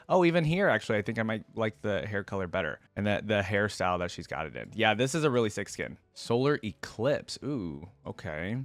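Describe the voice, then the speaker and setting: A man's voice: on the low side, dry recording. A man giving casual, informal reaction commentary, talking in a slangy, enthusiastic way as the host.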